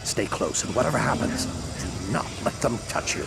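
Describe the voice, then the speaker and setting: A man playing a gruff, seasoned military guide in a video game, gravelly and terse as he gives commands. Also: animated, normal speed, loud music behind the voice. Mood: wary, urgent. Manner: terse, low warnings.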